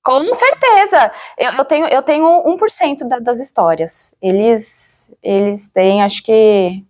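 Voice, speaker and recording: A Brazilian woman giving an interview, recalling her experience in a reflective, halting way, searching for words and often checking for agreement. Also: on the high side, lively intonation. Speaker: interviewee, former radio drama actor. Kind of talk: interview, personal recollection.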